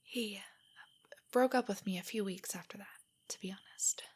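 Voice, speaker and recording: A woman playing a nervous, nerdy young girlfriend in an intimate roleplay audio drama, soft and whispery, with hesitant, stammering speech. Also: medium pitch, moderate pace, close to an everyday voice. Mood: insecure, subdued. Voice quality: soft, breathy, often whispery. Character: shy, nervous, nerdy young girlfriend, self-doubting and affectionate.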